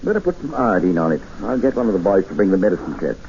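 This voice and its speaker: An actor playing a brisk, unflappable older expedition doctor in a 1950s radio drama, clipped and matter-of-fact. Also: male voice, deep voice, very animated, mellow, a little hiss or hum. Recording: dull old radio broadcast.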